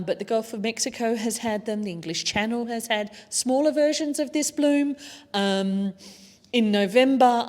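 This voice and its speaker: A woman's voice: mid-range voice, darkish tone. A woman speaking as a panellist at a public talk, earnest and informed, with some fillers. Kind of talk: panel discussion answers. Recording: long hall reverb.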